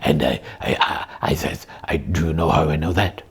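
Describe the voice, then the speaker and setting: A woman voicing a gruff, dim, curious sock puppet sidekick in a comic puppet show, with a husky voice and a halting, deadpan, questioning manner. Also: very deep voice, heavily acted. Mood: matter-of-fact. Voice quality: husky, gravelly.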